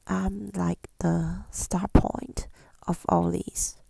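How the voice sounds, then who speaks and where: Woman, tender ASMR whisper, very creaky, soft dark tone, breathy, hesitant, affirming, close mic. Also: lowish voice, little pitch movement, extremely slow, dry recording. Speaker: ASMR creator speaking to listeners. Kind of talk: intimate ASMR monologue, mostly whispered.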